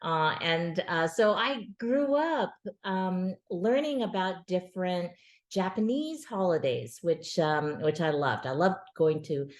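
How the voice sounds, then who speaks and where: A woman's voice: on the low side, expressive, slow. Chatty woman explaining, roomy sound. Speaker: author interviewing her father. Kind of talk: casual family conversation.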